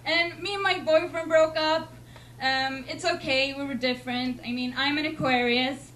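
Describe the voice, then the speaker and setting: A young woman from Sweden with a Swedish accent, doing a deadpan, self-mocking stand-up set in a comedy club. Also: high voice, normal speed. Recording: noisy club recording.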